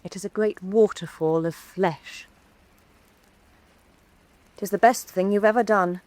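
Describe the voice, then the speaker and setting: An actress plays a grown daughter in a radio play who speaks earnestly and reproachfully. Her voice is of middle pitch for a woman, and the recording is dry and close. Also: unhurried, a little mellow, no echo, natural voice. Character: grown daughter and former associate, resentful. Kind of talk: radio drama acting.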